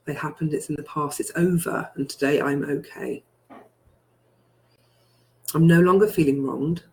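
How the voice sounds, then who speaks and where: A guide leads a healing meditation in a mid-pitched voice. The phrasing is deliberate and repetitive, built from first-person affirmations. Key statements are repeated with emphasis. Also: vocal fry, darkish tone, poor audio. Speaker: guided healing session leader.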